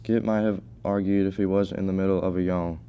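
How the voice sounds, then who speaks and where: A man's voice: low voice, flat delivery, fairly quick, smooth, lo-fi sound. A teenage male reading scripted story narration.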